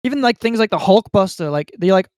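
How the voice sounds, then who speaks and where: Man, fairly high voice, dry sound, casual podcast co-host. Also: animated, fast talker, a little mellow, no room sound. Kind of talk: casual chat about films.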